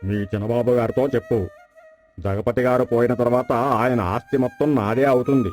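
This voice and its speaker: An actor playing a scheming rival landlord, the villain of a folk tale, insinuating and persuasive. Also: male voice, mid-range voice, fairly even intonation, normal speed, a little mellow, soft background music, lightly acted. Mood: sly, persuasive. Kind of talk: dramatized folk tale acting.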